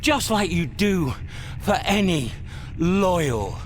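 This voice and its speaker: An actor playing an exasperated intergalactic hitchhiker in a radio comedy drama, indignant, wordy and sardonic. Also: male voice, high-pitched, big pitch swings, takes it slowly, a little hiss or hum, full character voice. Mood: insistent. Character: exasperated male intergalactic hitchhiker arguing with an operator.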